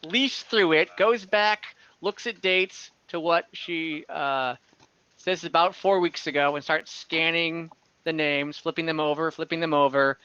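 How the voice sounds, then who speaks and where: A man narrating as game master of an online tabletop game, slow and halting, with many fillers. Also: on the high side, bright, forceful tone, clear, resonant tone, dry recording, dull audio. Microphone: home microphone, online call.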